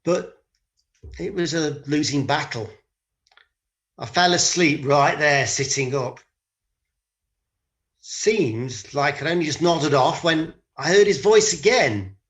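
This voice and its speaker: A man's voice: fairly high pitch, expressive, slow, pauses often, fairly bright tone. A man playing a tired, defensive disciple who recounts a night in a hesitant, colloquial, confessional monologue. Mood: rueful, tired. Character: weary disciple, sheepish, defensive, mildly resentful, recounting a night. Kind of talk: dramatic monologue in conversational modern speech.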